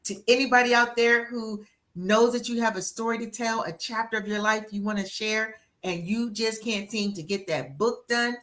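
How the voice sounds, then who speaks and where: A woman in her sixties hosting a live-stream interview, energetic and encouraging with a motivational push. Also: on the high side, moderate pace. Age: 60s. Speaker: interviewer and book coach.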